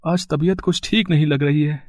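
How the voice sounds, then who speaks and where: A man's voice: fairly high pitch, steady tone, normal speed, no echo, clean studio sound, natural voice. A man voicing a meek, pleading poor labourer and father in a cartoon moral story, in a muffled recording. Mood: weary. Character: poor, humble, weary male labourer and father. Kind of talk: animated moral story voice acting.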